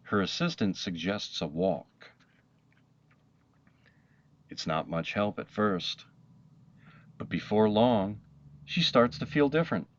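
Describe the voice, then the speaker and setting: A man narrating a children's picture book aloud, with expressive, dramatic emphasis and deliberate pauses. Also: fairly low pitch, dull audio. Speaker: storybook narrator.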